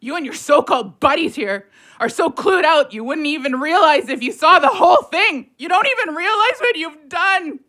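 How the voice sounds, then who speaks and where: Casting: scathing young-sounding widow and mother, extremely high, sharp, forceful, furious, audio drama. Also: expressive, moderate pace. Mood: scornful, furious. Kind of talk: emotional audio drama acting.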